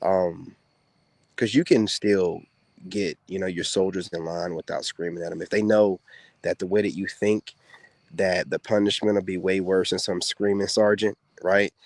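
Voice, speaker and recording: A soft-spoken man, a former soldier, talks casually to the camera to his women viewers in a relaxed, drawling way with many fillers. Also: low voice, moderate pace, dry recording. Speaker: content creator speaking to women viewers. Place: outdoors.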